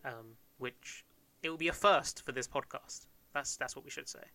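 A man's voice: medium pitch, normal speed, pushed and bright, no room sound. A man in his twenties from Kent, co-hosting a podcast in casual, joking chat and laughing often. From Kent, England. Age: 20s. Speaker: podcast co-host.

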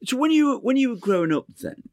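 Male interviewer with a high, animated voice and dry sound; short prompts. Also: fast, no room sound. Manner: brief backchannel replies and short questions. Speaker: interviewer host. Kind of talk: conversational interview.

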